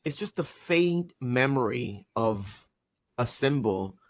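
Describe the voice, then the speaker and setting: Podcast guest, male, Puerto Rican accent, mid-pitched, upbeat and agreeable, dry booth sound. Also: expressive, slow pace, no room sound. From Puerto Rico. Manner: enthusiastic, agreeable backchanneling. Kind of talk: casual podcast conversation.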